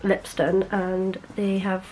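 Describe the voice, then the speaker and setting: A woman's voice: mid-range voice, monotone, slow pace, mellow, slight background noise, poor audio. A young woman, chatting to camera in a casual vlog, bubbly and rambling, correcting herself as she goes. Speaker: beauty vlogger.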